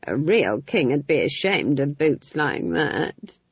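Old nanny witch, woman narrator in character: creaky, unhurried, considering, critical, shrewd. Storybook, slightly lo-fi. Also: low-pitched, very animated, no room sound, heavily acted. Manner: slow, drawn-out, considering.

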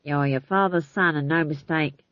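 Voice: medium pitch, steady tone, moderate pace, dry recording, lightly acted. Evasive, wistful playwright on a narrow, phone-like recording, acted by a narrator. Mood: hopeful, coaxing. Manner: hesitant, evasive, agreeable. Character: evasive, easygoing male playwright, innocent and wistful.

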